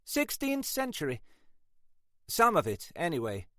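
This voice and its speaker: A man's voice: a little high, huge pitch swings, unhurried, bright, forceful tone, no room sound, clean studio sound, pitched above the performer's own voice, full character voice. A man voicing a posh, fussy lady of the house who gushes like a genteel hostess.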